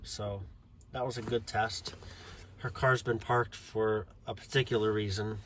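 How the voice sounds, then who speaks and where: Slow-talking American man, noisy recording. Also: lowish voice, fairly even intonation, a bit bright. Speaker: hobbyist showing a CB radio install. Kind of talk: casual unscripted video walkthrough. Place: inside a parked car.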